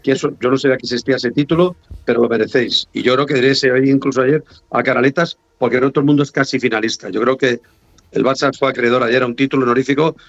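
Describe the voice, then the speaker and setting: A man's voice: medium pitch, fairly even intonation, quick pace, gravelly, no echo, muffled recording. A man with a Castilian Spanish accent giving teasing, sarcastic, rambling sports banter as a guest pundit over a remote connection. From Spain.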